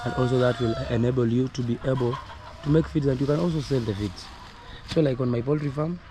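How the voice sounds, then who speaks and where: A hoarse man with a Kenyan accent, earnestly coaching viewers on his poultry farm in a repetitive manner. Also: medium pitch. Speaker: poultry farmer. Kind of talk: casual instructional vlog. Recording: noisy outdoor recording with roosters crowing.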